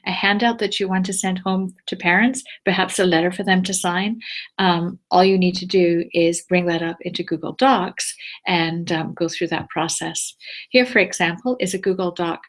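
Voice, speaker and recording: A woman's voice: on the low side, fairly even intonation, moderate pace. A woman teacher walking viewers through a screen-shared tutorial step by step, patient and explanatory, with frequent ums. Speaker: teacher giving a tech tutorial. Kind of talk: screencast walkthrough.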